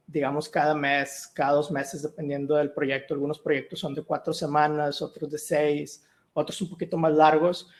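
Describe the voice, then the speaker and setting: A middle-aged man from Mexico, giving a tech talk on a video call, relaxed and full of "eh" fillers. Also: fairly high pitch. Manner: relaxed, with many "eh" and "ah" fillers and restarts. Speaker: guest speaker, solution architect. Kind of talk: online tech meetup presentation.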